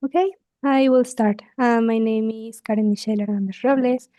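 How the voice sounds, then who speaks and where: Young mexican student girl, higher voice, chatty with some uhs, dry sound. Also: steady tone, normal speed, mellow, no room sound. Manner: chatty, with hesitations. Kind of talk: exam conversation.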